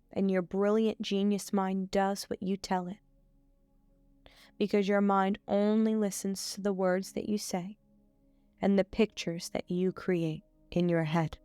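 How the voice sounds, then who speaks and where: Female meditation guide: smooth, clear voice, unhurried and soothing, soft music behind. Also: medium pitch, dry recording. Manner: soothing, measured delivery with frequent pauses. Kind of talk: scripted guided meditation, hypnotic suggestion.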